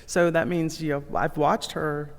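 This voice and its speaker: A woman's voice: low-pitched, fairly even intonation, unhurried, big-room sound. A woman in her forties on a casual church podcast, speaking in a reflective way and laughing easily. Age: 40s.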